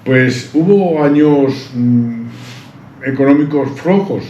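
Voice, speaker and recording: A man's voice: medium pitch, expressive, slow, warm tone, slight background noise. A man tells his life story in an interview, rambling proudly with many restarts. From Santander, Cantabria, Spain. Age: 70s. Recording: roomy, dull-sounding recording.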